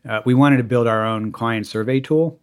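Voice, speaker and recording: A man's voice: medium pitch, moderate pace, clean tone. A man, a lawyer talking casually in an interview, rambling with lots of "you know" and restarts. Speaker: lawyer, guest.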